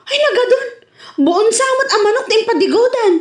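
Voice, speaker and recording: A woman's voice: very high voice, lively intonation, brisk. A woman with an Ilocano accent acting in a comedy sketch, exclaiming and laughing often. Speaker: comedic actor. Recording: echoey room with music underneath.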